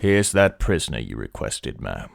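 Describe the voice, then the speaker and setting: Man acting a deep, gravelly, loyal henchman. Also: very animated, moderate pace, slight background noise, heavily acted. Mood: stern, deferential. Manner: stiff, deferential, stern. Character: gruff, loyal male henchman guard, stern and servile. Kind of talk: audio drama acting.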